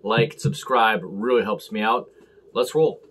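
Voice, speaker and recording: An American man hosting a casual beer review to camera, talking in halting, clipped short phrases. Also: lowish voice, steady tone, fairly bright tone. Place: small room at home, indoors.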